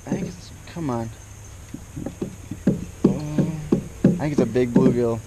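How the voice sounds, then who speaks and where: A man's voice: medium pitch, steady tone, slow. A young man talking casually and unscripted to a camera on his kayak while fishing outdoors. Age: young adult. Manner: informal, unscripted. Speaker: fishing vlogger. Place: outdoors on a kayak on a small lake. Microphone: action camera mounted on the kayak, a step or two away. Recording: loud background noise, outdoor action camera.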